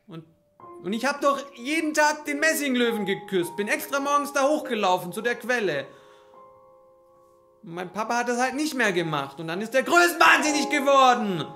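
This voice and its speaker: A man playing a distraught young heir to an indebted family plant in an improvised stage radio play, halting and stammering. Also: very high voice, very animated, sharp tone, faint music behind, exaggerated voice. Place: small curtained theatre stage. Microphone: stand microphone.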